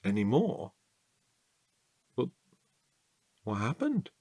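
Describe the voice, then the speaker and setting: A man acting a chatty, curious night-watch guard in a naturalistic audio drama, husky and halting in a playful way. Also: mid-range voice, wildly swooping pitch, a little mellow, no echo, exaggerated voice. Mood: playful, coaxing.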